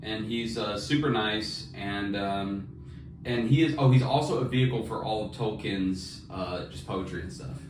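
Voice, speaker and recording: A man's voice: on the low side, moderate pace. A man co-hosting a podcast, chatting casually with informal, bro-ish slang. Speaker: podcast co-host; fiction writer. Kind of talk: casual chat. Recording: very echoey room, distant mic, faint noise.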